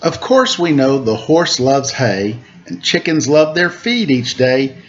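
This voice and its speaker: A man in his forties reading a picture book aloud to children in a deliberate sing-song way, with casual asides. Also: medium pitch, very animated. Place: indoor room at home. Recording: dull lo-fi webcam audio with noise.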